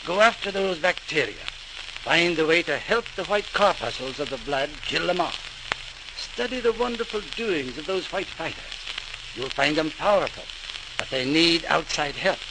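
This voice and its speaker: An actor playing an older, encouraging, authoritative British medical mentor in oratorical 1940s radio style. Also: male voice, a little high, expressive, normal speed. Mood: earnest, rousing. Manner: earnest, oratorical. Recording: noisy, crackly 1940s radio transcription.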